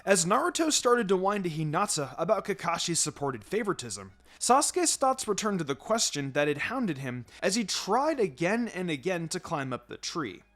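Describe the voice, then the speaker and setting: A man reading a story aloud as an expressive narrator. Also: high voice, normal speed, few pauses. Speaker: fan fiction narrator. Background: background music.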